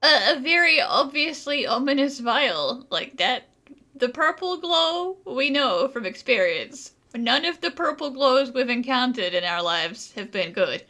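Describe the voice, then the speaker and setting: A woman's voice: sits high, big pitch swings, a little slow, sharp tone. A woman giving casual let's play commentary on a video game, with a wry, slangy way of talking. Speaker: gaming streamer.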